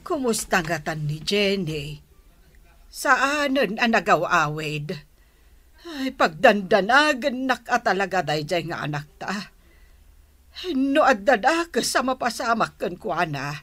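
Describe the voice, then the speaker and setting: A woman's voice: mid-range voice, very animated, slow pace, fairly bright tone, exaggerated voice. An actress with a Filipino accent playing a worried middle-aged mother pleading in a radio drama.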